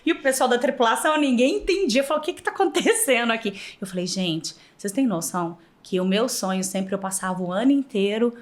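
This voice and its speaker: A woman from Minas Gerais with a Mineiro accent, a podcast guest telling lively stories and often laughing. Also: on the high side, brisk, hardly any pauses. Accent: Mineiro Brazilian Portuguese. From Minas Gerais, Brazil. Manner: animated, laughs often, acts out past dialogue. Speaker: podcast guest, former flight attendant. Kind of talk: casual interview storytelling.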